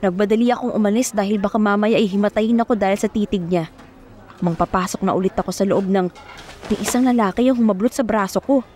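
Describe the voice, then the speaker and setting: A woman's voice: medium pitch, fast talker, a little mellow. A young woman narrating an audio romance serial in the first person, as a dramatic storyteller. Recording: music bed under the voice.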